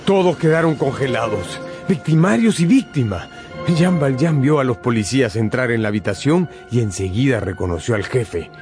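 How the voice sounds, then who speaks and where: A man's voice: medium pitch, expressive, normal speed. A man narrating a scripted radio drama as a dramatic storyteller. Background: music.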